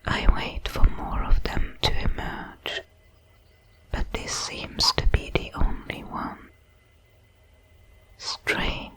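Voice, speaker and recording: Woman acting alien creature, breathy crisp whisper, deliberate clinical phrasing, curious, detached, sci-fi ASMR audio drama. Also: fairly bright tone, poor audio.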